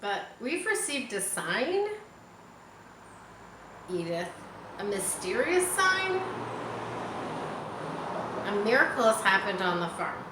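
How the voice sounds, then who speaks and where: Earnest storybook farmer acted by a woman, solemn and shaken, lively pitch, roomy sound. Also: slow pace, pauses often. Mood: awed. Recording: echoey room, faint background noise.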